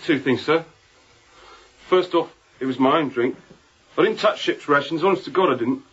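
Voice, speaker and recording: A repentant sailor in a radio play, with a high, husky voice. He rambles earnestly and humbly, like a shaken crewman pleading his case. The recording is a little dull. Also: normal speed. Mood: pleading, contrite. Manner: earnest rambling working-sailor speech, deferential. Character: contrite, frightened sailor pleading after a drunken night. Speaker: ship's crewman. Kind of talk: radio drama acting.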